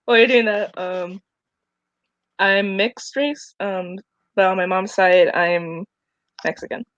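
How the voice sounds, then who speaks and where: A woman's voice: mid-range voice, fairly even intonation, slow, no echo. Twenty-year-old woman, slightly muffled call audio. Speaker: co-host of a book live stream. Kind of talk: casual group chat. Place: indoor room at home, on a video call. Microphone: headset on a video call. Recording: dull, lo-fi video call audio.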